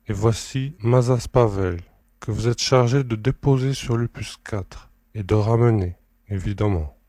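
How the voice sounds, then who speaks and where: Man playing a mellow, ironic space admiral. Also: on the low side, unhurried, dry recording, studio quality, lightly acted. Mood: wryly amused. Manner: courteous, wry, authoritative. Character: ironic, commanding male admiral and space emperor. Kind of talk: French audio drama acting.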